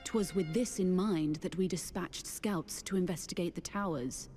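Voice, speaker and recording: A woman's voice: lowish voice, moderate pace, warm tone, lightly acted. A woman playing a solemn, poised leader in a fantasy game, with formal, old-fashioned wording. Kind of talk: fantasy video game voice acting. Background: orchestral game music.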